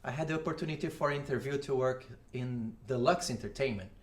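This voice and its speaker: A man in his forties from Brazil with a Brazilian Portuguese accent, chatting as an interview guest in halting English full of restarts. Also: medium pitch. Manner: halting non-native English with many restarts. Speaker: guest, audio engineer. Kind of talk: casual interview chat.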